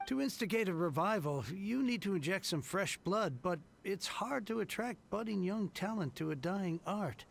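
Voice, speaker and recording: A gravelly, grateful old-house merchant. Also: male voice, high-pitched, lively intonation, moderate pace, hardly any pauses, full character voice. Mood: grumbling. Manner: earnest and emotional, grumbling. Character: grateful, weary middle-aged merchant heir.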